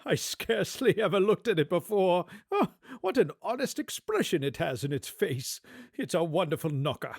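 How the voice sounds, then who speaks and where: An elderly reformed miser, bursting with giddy generosity in a storybook radio play. The voice leaps high and swoops widely, exclaiming with effusive old-fashioned courtesy, and sounds close and dry. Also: male voice, normal speed, vocal fry, slightly rough, dry recording, heavily acted. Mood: giddy glee. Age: older. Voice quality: excitable, exclaiming, breaking into chuckles.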